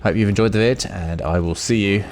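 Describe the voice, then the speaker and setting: A man's voice: on the low side, brisk, a little mellow. A man hosting a gaming video, talking in a casual, chatty, rambling way as he gives his commentary. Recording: noisy with clear background noise.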